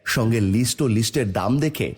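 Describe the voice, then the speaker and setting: A man narrating an animated moral fable as a scripted storyteller. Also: medium pitch, brisk, noisy background.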